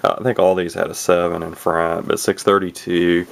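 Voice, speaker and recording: A man's voice: deep voice, level tone, normal speed, creaky. A man casually showing off an old radio on camera, folksy and rambling with lots of fillers and restarts. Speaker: radio hobbyist showing his gear. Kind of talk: casual show-and-tell, off the cuff. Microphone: camera mic, speaker off screen behind the camera.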